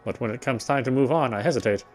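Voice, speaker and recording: A man reading visual novel narration aloud as he plays, often halting and correcting himself. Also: medium pitch, faint music behind. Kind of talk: read-aloud game narration.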